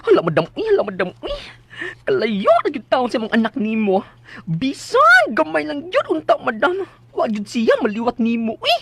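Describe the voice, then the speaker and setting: A Filipino-accented actress in a radio drama playing a loud, sassy, excitable office worker, full of theatrical wails and teasing. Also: female voice, high-pitched, normal speed, breathy edge, heavily acted. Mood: amazed, effusive. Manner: exclamatory, theatrical wailing and teasing.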